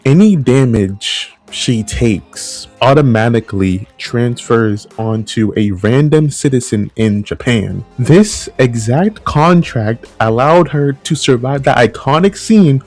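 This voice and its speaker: A man's voice: medium pitch, lively intonation, slow pace, hardly any pauses, darkish tone. Conversational man rambling over background music. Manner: conversational, rambling, repeats phrases for emphasis. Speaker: host of a video commentary channel. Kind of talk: casual fan commentary, loosely scripted video essay.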